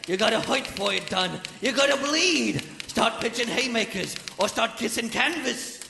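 Creaky, high-pitched boxing cornerman. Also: expressive, normal speed, full character voice. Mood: gruff goading. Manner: streetwise slangy urging, tough-guy grammar. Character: gruff, pugnacious old-school boxing cornerman goading his fighter. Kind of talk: comic radio theater acting. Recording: live theater stage, reverberant, faint noise.

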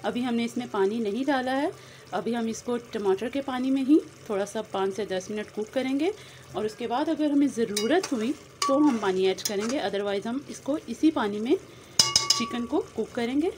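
A woman's voice: a little high, moderate pace. Woman walking viewers through a recipe. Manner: friendly, addresses viewers directly, mixes in English words. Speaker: cooking channel host. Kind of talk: step-by-step recipe narration. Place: home kitchen. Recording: noisy phone recording over sizzling pan. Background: frying and sizzling.

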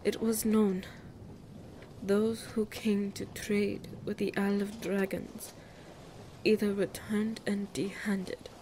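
Woman playing a slow, regal monster empress. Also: mid-range voice, warm tone, a little hiss or hum, rough recording. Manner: stately, measured, formal. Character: regal, commanding monster empress, old ally of dragons. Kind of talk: fantasy audio roleplay acting.

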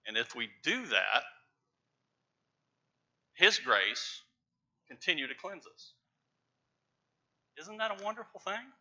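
A middle-aged man preaching from a church pulpit, stressing key words with emphatic, rising loudness. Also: a little high, sing-song, slow pace, long pauses. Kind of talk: sermon. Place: large church sanctuary with pews. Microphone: lapel mic, far camera. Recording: dull church livestream audio.

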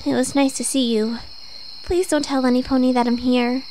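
A woman voicing an earnest, anxious young pony princess in an animated comic dub, with emotional delivery. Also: high-pitched, moderate pace, a little hiss or hum, lightly acted, low-quality recording. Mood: downcast.

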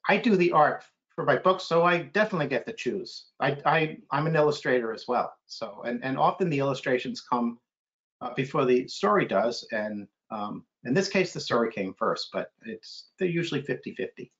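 A man's voice: medium pitch, expressive, normal speed. A middle-aged American man chatting casually as a guest author, answering questions on a video call. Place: indoor room on a video call. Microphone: computer video call. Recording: dull, lo-fi video call audio.

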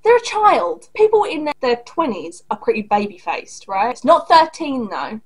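A fairly high-voiced woman in her thirties reacting to a clip from her small home room at the desk. She talks in a blunt, sarcastic, angry way, swearing freely as she comments. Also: wildly swooping pitch, moderate pace, breathy edge. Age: 30s. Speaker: reaction video host.

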